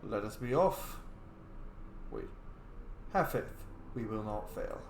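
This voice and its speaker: A man's voice: fairly low pitch, expressive, slow pace, gravelly, a little mellow, slight background noise. Man, game roleplay, earnest, plain, roomy echo. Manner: plain, earnest, with casual asides. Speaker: player voicing his character. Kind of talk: game roleplay, speaking as his character.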